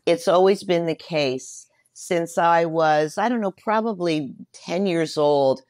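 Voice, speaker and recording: A woman's voice: on the low side, slow, a little mellow, no echo, studio quality. A mature woman giving a casual interview as a guest, effusive and reflective about her life and work. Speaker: guest, writer, director and voice performer.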